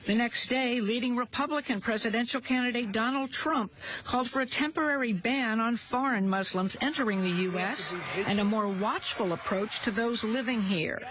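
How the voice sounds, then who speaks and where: A woman correspondent narrating a scripted news report in an even, formal delivery. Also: on the high side, moderate pace.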